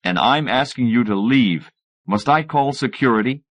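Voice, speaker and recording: A man's voice: mid-range voice, expressive, moderate pace, a bit bright. A man voicing a haughty, scornful male professor with a pompous, sarcastic delivery in an audiobook. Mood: mocking, indignant. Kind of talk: acted audiobook dialogue.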